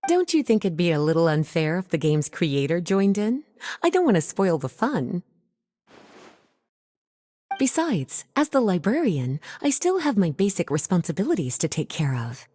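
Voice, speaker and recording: A woman voicing a poised, indulgent librarian in a video game, velvety, articulate and gently teasing. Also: fairly low pitch, sing-song, moderate pace, a little hiss or hum.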